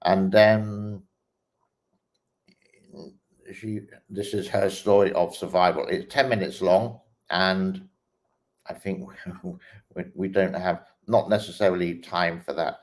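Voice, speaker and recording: Casting: deep-voiced male host, unhurried, halting reaction commentary. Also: fairly even intonation. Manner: slow, hesitant, many ums and restarts. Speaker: host reacting to online clips. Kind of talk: casual commentary while scrolling a feed.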